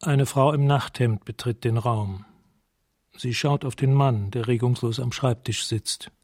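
Male actor as slow, husky detached observer. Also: mid-range voice, darkish tone, dry recording, clean studio sound, close to an everyday voice. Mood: detached, measured. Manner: clipped phrases, detached, measured. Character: detached observer narrating a man's night scene. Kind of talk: radio drama scene narration, present tense.

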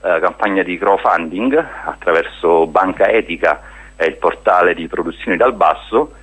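A man's voice: deep voice, normal speed, a bit bright, husky, low-quality recording. A man speaking as a guest in a radio interview, explaining a project in an earnest way. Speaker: guest, spokesman of a hiking association.

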